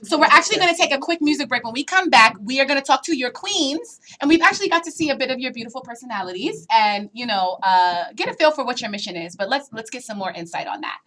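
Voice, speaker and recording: A woman hosting a casual live radio chat in a small studio, chatty and quick to laugh. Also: high-pitched, lively intonation, bright, forceful tone. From New York. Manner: chatty, laughs often, talks over guests. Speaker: radio show host. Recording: noisy live studio mic.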